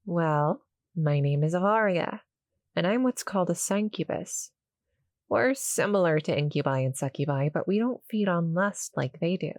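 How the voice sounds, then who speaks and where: A woman voicing a small, shy, friendly cuddle-monster girl in an audio roleplay, halting and apologetic as she speaks to the listener. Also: on the low side, expressive, dry recording, clean studio sound. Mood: shy, explaining. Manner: nervous, halting, stammering, soft-spoken explaining.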